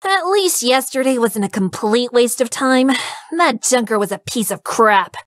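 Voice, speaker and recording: A woman's voice: on the high side, animated, unhurried, a bit bright, no room sound, clean studio sound. A woman voicing a brash, cocky young treasure hunter in a visual novel, speaking bluntly. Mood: gruff, offhand. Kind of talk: visual novel character voice acting.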